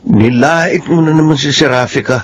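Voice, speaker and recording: A man's voice: a little high, normal speed, husky. A man playing a teasing young man who questions and chides his partner in a radio drama. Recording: dull lo-fi radio drama recording.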